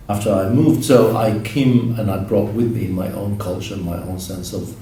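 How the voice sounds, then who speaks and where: A man from Morocco speaking reflectively in a documentary interview, halting with many restarts. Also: fairly low pitch, steady tone, mellow. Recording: very echoey, distant mic, faint noise.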